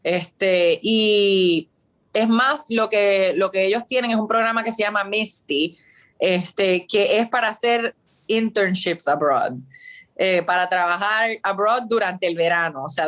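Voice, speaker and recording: Woman, Puerto Rican accent, thirties, chatty, many fillers, video call, medium pitch. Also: a bit bright. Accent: Puerto Rican Spanish. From Puerto Rico. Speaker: guest. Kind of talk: casual interview answers.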